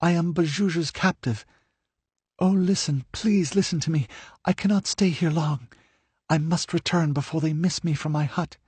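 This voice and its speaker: A man voicing a desperate young noblewoman held captive, pleading in a high, strained voice near tears. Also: moderate pace, darkish tone, husky, no room sound.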